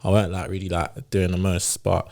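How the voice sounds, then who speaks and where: A man's voice: sits low, completely flat delivery, brisk, gravelly, darkish tone. Laid-back young man. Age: young adult. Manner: laid-back slangy talk, laughs often. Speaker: guest. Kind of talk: casual chat.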